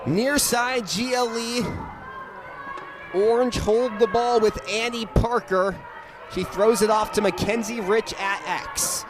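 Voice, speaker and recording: A young man giving energetic live radio play-by-play commentary of a sports game. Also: high-pitched, slow pace. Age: young adult. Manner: energetic, rises to excited calls on big plays. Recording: live stadium with crowd noise. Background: crowd noise at an outdoor game.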